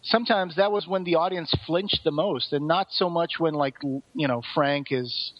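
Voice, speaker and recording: A man with a slightly high voice talks casually as a podcast co-host. He explains things in careful detail. The sound is somewhat dull and muffled. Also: moderate pace. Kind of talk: casual discussion.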